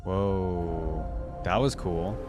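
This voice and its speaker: A man's voice: low voice, drawn-out words, over music. A young adult man hosting a reaction video, giving informal commentary as he thinks aloud. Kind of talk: casual running commentary on videos.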